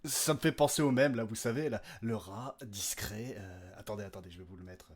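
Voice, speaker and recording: A man's voice: lowish voice, a little fast, husky. A man hosting his own podcast, giving listeners a casual, off-the-cuff update in a rambling, jokey, slangy way. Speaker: podcast creator and host.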